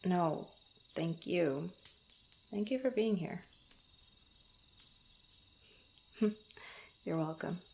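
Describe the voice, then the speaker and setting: A woman playing a proud but tender young female adventurer in an intimate ASMR roleplay, speaking softly and haltingly. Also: on the low side, a little hiss or hum. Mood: tender, relieved. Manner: halting, stammering, soft-spoken with breathy asides.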